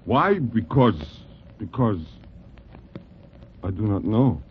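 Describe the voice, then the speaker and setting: An actor playing a naive, earnest exiled king turned boxer, with a foreign accent and halting, stilted English, in 1940s radio style. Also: on the low side, very animated, a little hiss or hum. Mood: bewildered, ashamed. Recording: tinny, band-limited old radio recording.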